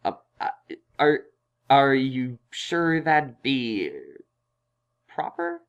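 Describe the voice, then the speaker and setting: A man playing a kindly young male pony scholar in an audio drama, reassuring but self-conscious and halting. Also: medium pitch, vocal fry, smooth, lo-fi sound. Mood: flustered, awkward. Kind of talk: audio drama fan fiction reading.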